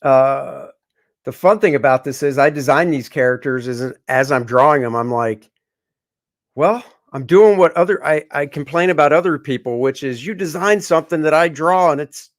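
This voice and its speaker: Host: a chatty, joking man with a rather high voice, rambling with fillers. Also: normal speed. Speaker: comic artist co-host. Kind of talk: casual livestream chat.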